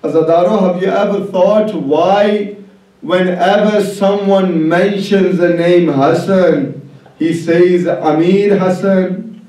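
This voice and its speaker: A man preaching a mournful, impassioned Shia lament sermon in a declamatory way, with drawn-out phrases and rhetorical questions. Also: high-pitched, fairly even intonation, warm tone. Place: large indoor congregation hall. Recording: echoey hall, distant microphone.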